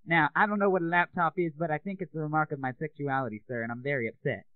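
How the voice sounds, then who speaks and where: Man doing a hurt, overly polite customer, brisk slightly high voice, tinny phone sound. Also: no echo, lightly acted. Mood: aggrieved. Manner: overly polite, says "sir" constantly, near tears. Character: upset, fragile man complaining to a store manager. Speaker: prank caller.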